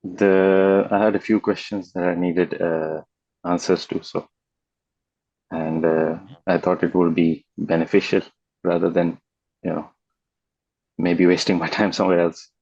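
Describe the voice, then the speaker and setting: A man's voice: low-pitched, flat delivery, unhurried, long pauses, darkish tone, no room sound, highs cut off. A young man from England, answering as a guest in a hesitant way with many ums and uhs. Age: young adult.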